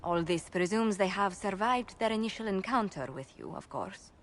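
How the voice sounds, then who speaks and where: A formal, mid-pitched actress as a female court adviser in a fantasy game. She is measured and diplomatic, with faint noise in the background. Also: lively intonation, lightly acted. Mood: formal, composed. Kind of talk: video game dialogue acting.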